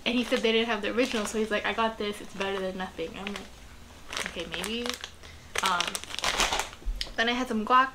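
A woman's voice: medium pitch, fairly even intonation, moderate pace, long pauses. A woman of about thirty chatting casually to camera in a small home kitchen, rambling with many ums and likes. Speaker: art vlogger. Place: small home kitchen-studio indoors, rain outside. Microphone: camera close on the table. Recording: home video with clear rain noise behind. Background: rain noise.

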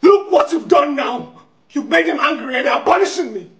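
Casting: young male actor as a desperate possessed man, strikingly high-voiced, anguished and halting, stage-style monologue. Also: expressive, a bit bright, exaggerated voice. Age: young adult. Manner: anguished, halting, swinging into outbursts. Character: tormented, demon-possessed man, desperate and despairing. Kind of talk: dramatic monologue performance. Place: tiled living room, indoors. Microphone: phone a few steps away.

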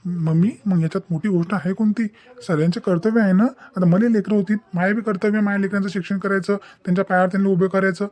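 A man's voice: sits high, steady tone, quick pace, a little mellow, natural voice. A man playing an indignant, principled young son in a cartoon, lecturing emphatically in a Vidarbha Maharashtrian dialect. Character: indignant, principled young adult son defying his mother. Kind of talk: comic family drama cartoon dubbing.

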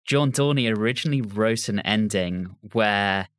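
A man's voice: fairly low pitch, normal speed, a bit bright. A man hosting a podcast, discussing an audio drama. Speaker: podcast host.

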